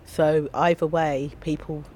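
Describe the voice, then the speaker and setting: Woman getting over the flu, voice gone deep, chatty and rambly, one shared little mic outdoors, faint rumble behind. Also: level tone, measured pace, a little mellow, poor audio. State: recovering from flu, voice lower than usual. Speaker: guest, community gardener. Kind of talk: casual chat. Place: outdoor community garden surrounded by flats. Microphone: sharing one small microphone with the host.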